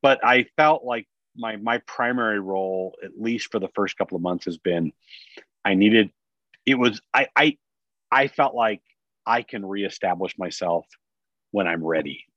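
A man's voice: lowish voice, a little slow, fairly bright tone, dry recording. A man speaking as a podcast guest, telling his own experience casually in a candid, self-reflective way. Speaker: podcast guest, business executive. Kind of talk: casual personal storytelling in an interview. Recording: dry, slightly dull sound.

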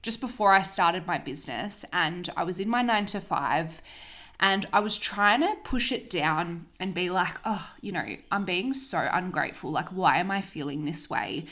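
Low-voiced sniffly woman podcast host. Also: expressive, normal speed, sharp tone. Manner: chatty, upbeat, swears casually. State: sniffly, coming down with a cold. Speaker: podcast host, holistic coach. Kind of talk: casual solo podcast monologue.

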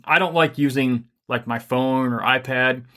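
A man's voice: medium pitch, measured pace. Chatty man giving upbeat advice. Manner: energetic, rambling, filler-heavy. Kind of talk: casual faith-based advice chat.

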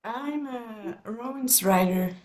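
A woman from Switzerland whose first language is German, speaking English with a Swiss German accent learned partly in the US. She is a guest in a casual podcast interview and talks in a measured way, pausing to search for words. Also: on the high side, darkish tone. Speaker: guest, subconscious coach and therapist.

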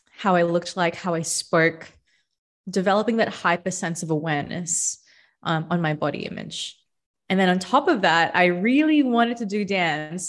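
A young woman from the Philippines, a podcast guest, telling her own story in a relaxed, reflective way with many fillers. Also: lowish voice. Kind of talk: casual interview storytelling.

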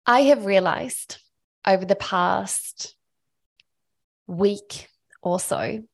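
A woman from Sweden hosting her own podcast, telling a personal story in an enthusiastic, motivational, chatty way. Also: mid-range voice. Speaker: podcast host.

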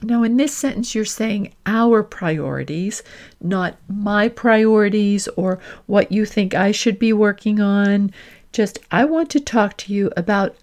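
A woman career coach hosting an instructional podcast, speaking deliberately and stressing her key phrases as she explains points and example dialogue. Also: mid-range voice, a little mellow.

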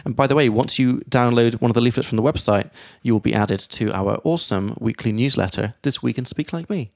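A man's voice: mid-range voice, brisk, gravelly, no echo. Man explaining grammar in a dry room. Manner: lively explainer who reads out example sentences. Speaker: podcast host and English teacher. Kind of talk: explaining grammar in casual chat.

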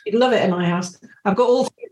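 A woman's voice: mid-range voice, quick pace. Chatty Yorkshire woman host. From Yorkshire, England. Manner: chatty, warm laughter, rambling restarts. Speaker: podcast host. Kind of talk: casual interview chat.

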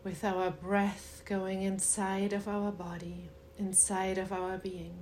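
Very slow, even-toned woman guiding meditation. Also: mid-range voice, a little hiss or hum. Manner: soothing, unhurried, with long pauses. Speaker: meditation guide. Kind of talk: guided meditation.

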